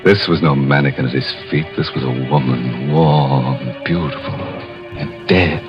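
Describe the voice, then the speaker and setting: An actor playing an ominous, macabre storyteller in a 1950s radio horror play, dramatic and deliberate. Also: male voice, a bass voice. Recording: muffled old radio, music underneath.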